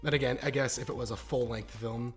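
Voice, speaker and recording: A man's voice: mid-range voice, steady tone, a little fast. Casual, emphatic man with music behind. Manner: informal, emphatic, jokey, profane. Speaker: YouTube video reviewer. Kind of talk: casual opinionated video review. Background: background music.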